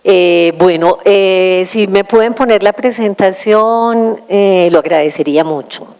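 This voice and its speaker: A woman's voice: mid-range voice, darkish tone, clean tone. Woman giving a lecture. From Colombia. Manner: measured, explanatory, with frequent hesitations. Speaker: invited lecturer. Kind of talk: conference lecture.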